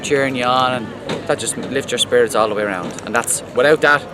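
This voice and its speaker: A man's voice: mid-range voice, brisk, background noise. An Irish man, a race participant, giving enthusiastic, repetitive praise in a street interview. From Ireland. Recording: loud crowd behind.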